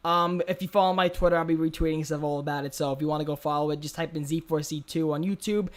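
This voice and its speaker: A man's voice: fairly high pitch, fairly even intonation, fast. A man hosting a podcast in casual chat, swearing freely and often repeating words and phrases as he talks. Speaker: podcast host.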